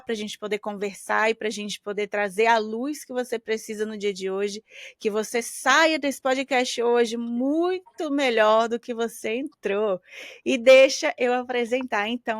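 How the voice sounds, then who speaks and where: Chatty podcast host, fairly high voice. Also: female voice, dry recording, clean studio sound. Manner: warm, affectionate and chatty with guests. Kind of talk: casual podcast chat.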